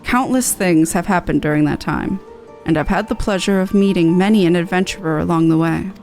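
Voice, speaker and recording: A woman puts on a solemn, mysterious man from a fantasy game's dream. The speech is formal and pitched down from her own voice, over music. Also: brisk, darkish tone, natural voice. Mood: solemn, wistful. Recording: music in the background.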